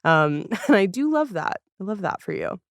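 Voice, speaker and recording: A woman's voice: mid-range voice, big pitch swings, moderate pace, dry recording, studio quality. A woman hosting a podcast in a casual, conversational style. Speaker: podcast host. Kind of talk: casual chat.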